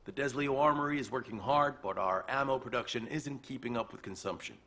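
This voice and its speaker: An actor playing a skeptical, practical military engineer in a video game, speaking in a matter-of-fact, argumentative way. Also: male voice, mid-range voice, a little fast, a bit bright, slight background noise, lightly acted. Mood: businesslike, concerned.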